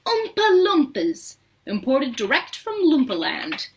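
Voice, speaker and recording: A woman's voice: fairly high pitch, lively intonation, normal speed, far from the mic, a little hiss or hum, close to an everyday voice. A woman voicing an eccentric, boastful male chocolate maker, proud and showy in manner.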